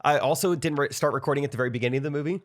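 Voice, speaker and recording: A man's voice: a little high, very fast, dry recording, studio quality. A man with an American accent chatting casually about things with friends as a podcast co-host. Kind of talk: casual movie chat among friends.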